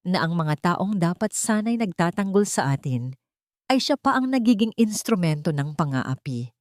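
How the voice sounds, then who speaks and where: A woman voicing a gently spoken, threatening male villain in an audio drama. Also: fairly low pitch, lively intonation, moderate pace, dry recording, studio quality, close to an everyday voice. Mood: aggrieved, inciting. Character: menacing male villain, softly threatening, coldly in command.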